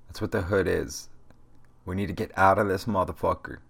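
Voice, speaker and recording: A man's voice: deep voice, fairly even intonation, fairly quick, gravelly, breathy edge. A young man hosting a solo podcast, ranting in a rambling, profane, motivational way, stuffy-nosed from a cold. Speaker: podcast host. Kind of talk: casual solo podcast rant.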